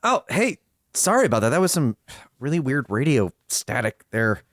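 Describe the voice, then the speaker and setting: A man co-hosting a podcast, chatting casually and comedically, halting and circling back to his point. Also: medium pitch, very animated, a bit bright. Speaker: podcast co-host.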